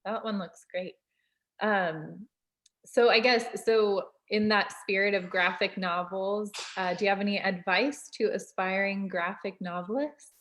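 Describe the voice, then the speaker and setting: A woman with a mid-pitched voice hosts an informal question session. She responds briefly and passes questions along in a conversational way. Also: a little slow, fairly bright tone. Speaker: host relaying audience questions. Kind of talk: informal interview hosting.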